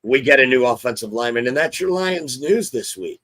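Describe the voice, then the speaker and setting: A hoarse man hosting a casual sports talk show, chatty and excitable. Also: medium pitch, a little fast, noisy background. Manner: chatty, excitable, restarts often. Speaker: show host.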